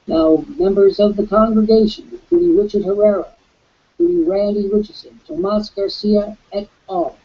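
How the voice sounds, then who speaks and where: A man hosting a live online webcast, with a croaky voice, giving an emphatic, preacherly sales pitch. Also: fairly even intonation, moderate pace, muffled recording. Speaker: webcast host.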